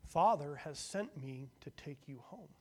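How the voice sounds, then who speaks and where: A man voicing a patient firstborn son who comes to bring his brothers home. He speaks softly and earnestly, a touch higher than average, in a storybook telling. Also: expressive, unhurried, slightly rough. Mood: gentle earnest. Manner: gentle, earnest. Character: calm, patient eldest son.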